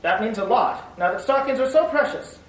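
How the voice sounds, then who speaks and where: A woman announcing and reading a commercial in a bright, persuasive 1940s radio style. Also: fairly high pitch, animated, a little fast. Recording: distant, roomy old broadcast with hiss.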